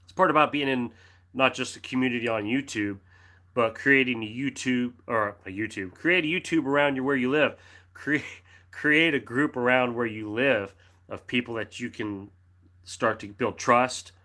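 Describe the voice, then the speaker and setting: A middle-aged American man hosting a casual livestream, reading out viewer comments in a friendly, rambling way with restarts and throat clearing, his throat dry and a cough drop in his mouth. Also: mid-range voice, normal speed, pushed and bright. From United States. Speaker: livestream host reading chat. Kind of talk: casual live chat.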